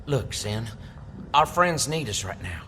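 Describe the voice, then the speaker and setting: A brash, crude adventurer in an audio drama. His bright voice jumps all over the place as he talks, and the sound carries a long reverb with faint ambience behind it. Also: medium pitch, very animated, a little slow, a little hiss or hum. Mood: tender.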